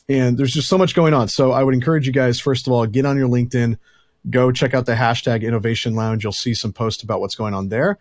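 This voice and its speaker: A male podcast host with a rambling, chatty and self-deprecating manner. His voice sits at a middle pitch. The recording is dry and close. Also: a little mellow, no echo. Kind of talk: casual podcast chat.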